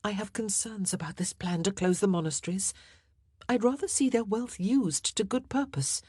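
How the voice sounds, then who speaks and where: A woman's voice: mid-range voice, moderate pace, slightly rough, dry recording, studio quality, voice pushed up, natural voice. A woman voicing a proud, anxious young queen who pleads and argues back sharply. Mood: confiding. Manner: pleading, then defiant and sharp.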